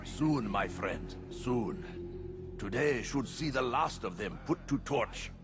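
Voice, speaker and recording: A man's voice: medium pitch, expressive, slow pace, faint music behind. An actor playing a stern, persuasive male conspirator in a video game, terse and commanding. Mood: conspiratorial. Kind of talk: video game cutscene dialogue.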